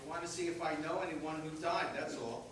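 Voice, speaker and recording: A man's voice: fairly high pitch, steady tone, fairly quick, natural voice. A man playing a dry, grumbling older husband in a community reader's theater comedy. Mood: weary, irritated. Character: grumbling, distracted older husband reading the paper. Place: reader's theater performance in echoey venue. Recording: very echoey, distant, noisy hall recording.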